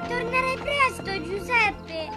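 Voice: extremely high pitch, animated, takes it slowly, loud music behind the voice, heavily acted. A performer playing a sweet, clingy little boy with a childlike, pleading manner in a cartoon. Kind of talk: dubbed Italian animated cartoon acting.

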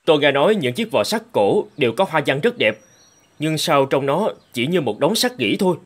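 A man playing an eager, plain-spoken relic digger in an animated fairy tale. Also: on the high side, moderate pace. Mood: puzzled.

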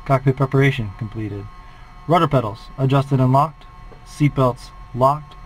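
A man's voice: medium pitch, normal speed, a little hiss or hum, poor audio. A flight instructor in his forties, calling out a preflight checklist in a methodical, clipped way from a small plane cockpit on a headset.

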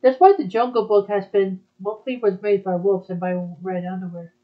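A woman's voice: medium pitch, moderate pace. A woman talking in a casual back-and-forth conversation. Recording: dull, muffled lo-fi audio.

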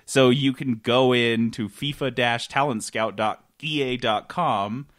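A man's voice: mid-range voice, a little slow, fairly bright tone. A man co-hosting a gaming podcast in casual comic banter, sarcastic and irreverent, swearing freely. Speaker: podcast co-host.

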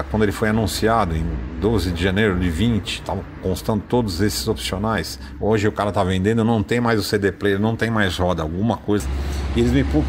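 A man's voice: fairly low pitch, background noise. A man with a Brazilian accent, hosting a car channel, casually walking through a website in an informal, chatty voice-over. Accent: Brazilian Portuguese. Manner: chatty, informal, thinks aloud with fillers. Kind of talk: casual screen-share walkthrough.

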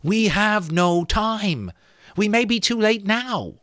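A man's voice: on the high side, wildly swooping pitch, moderate pace, no room sound, studio quality, pitched above the performer's own voice. A man voicing an anxious young woman who speaks in an urgent, pleading way.

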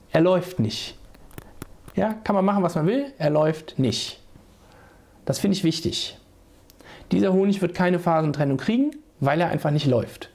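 Hoarse, gravelly man with a cold. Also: high voice, darkish tone. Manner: chatty, restarts sentences, addresses viewers directly. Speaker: beekeeper. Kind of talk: casual how-to video. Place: small home room.

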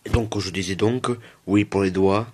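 A man's voice: low-pitched, steady tone, normal speed, darkish tone, slightly rough. A man hosts a gaming video. He talks casually, circling back over his own words and wandering off into joking asides with the viewers. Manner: repeats himself and digresses, joking with viewers. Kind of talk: casual, rambling gameplay commentary.

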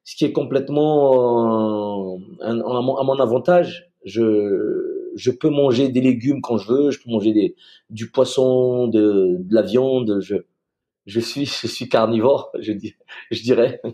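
A man's voice: medium pitch, measured pace. A man in his forties from Madagascar, a retired soldier, talking in an easygoing way as a podcast guest over a video call. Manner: relaxed, easygoing, repeats words as he starts. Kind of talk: casual interview chat. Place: home room, video call.

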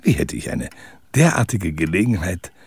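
Gravelly, smug killer. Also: male voice, sits low, very animated, dry recording, heavily acted. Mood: smug confession. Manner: gloating, deliberate, theatrical. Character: smug, cold-blooded murderer confessing his crimes. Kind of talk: German audio drama acting.

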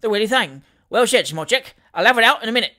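A man's voice: high voice, expressive, fast talker, bright, forceful tone, voice pushed up, full character voice. A man voicing a cheeky, easygoing manservant in a storybook reading. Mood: jaunty.

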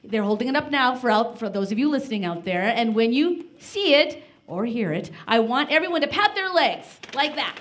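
A woman hosting and narrating a live children's show, giving clear instructions and encouraging listeners to join in. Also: fairly high pitch, expressive, moderate pace, hardly any pauses, a little hiss or hum. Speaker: host and narrator. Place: live performance in a library room before an audience.

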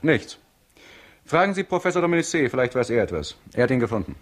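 Man acting a witty, theatrical doctor, very lively intonation. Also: mid-range voice, fast, a little hiss or hum, close to an everyday voice. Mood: brisk. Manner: flowery, mocking, theatrical speech. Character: witty, ironic hospital doctor teasing his pupil. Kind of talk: 1960s German-language radio crime drama acting.

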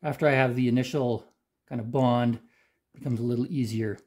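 Mellow, husky-voiced man demonstrating a repair. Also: medium pitch, normal speed. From Canada. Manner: relaxed, plain-spoken, talks while working. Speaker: DIY channel host. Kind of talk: hands-on how-to demonstration. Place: garage workshop.

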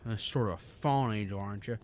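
A man's voice: on the low side, quick pace, a little mellow, natural voice. A man playing a despairing, skeptical everyman in a radio drama, wry and stammering. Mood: bitter, sardonic. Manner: stammering, sardonic, incredulous.

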